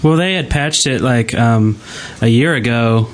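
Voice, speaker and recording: A man's voice: medium pitch, unhurried. A man chatting casually as a podcast co-host, often with brief reactions.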